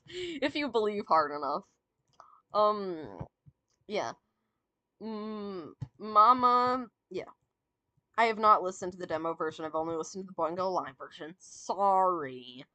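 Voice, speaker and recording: A woman giggling and rambling as she ranks songs, her voice slightly raspy and sore from talking. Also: mid-range voice, sharp tone, no echo. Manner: giggly, rambling, many fillers and restarts. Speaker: VTuber streamer. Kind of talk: casual fan commentary, ranking songs.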